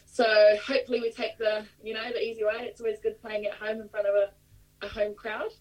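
A woman's voice: a little high, level tone, fairly quick. Upbeat New Zealand woman, mic at a distance. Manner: upbeat and laughing easily. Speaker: rugby player guest. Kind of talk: remote interview.

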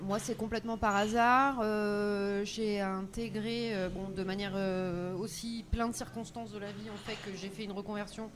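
A woman's voice: mid-range voice, steady tone. A woman who works as a freelance humor critic, chatting and joking casually in a bar. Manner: chatty, jokey, quick laughs. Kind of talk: casual chat.

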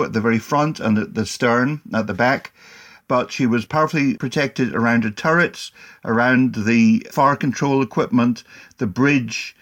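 A man as a guest on a history podcast, explaining things in a measured way with occasional restarts. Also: medium pitch. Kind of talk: podcast interview.

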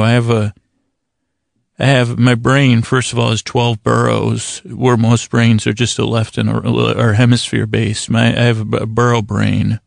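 A man's voice: mid-range voice, vocal fry, no room sound, studio quality. A man hosting a bedtime podcast in a rambling monologue, stammering and restarting often as he drifts into silly, self-deprecating tangents. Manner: halting, stammering, frequent false starts. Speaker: podcast host.

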